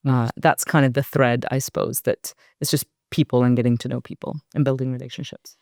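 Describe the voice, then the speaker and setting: A woman in her forties from Iraq, a company board director, talking reflectively as a guest in an interview, measured and thoughtful with soft fillers. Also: extremely low pitch, fairly even intonation, no room sound, studio quality.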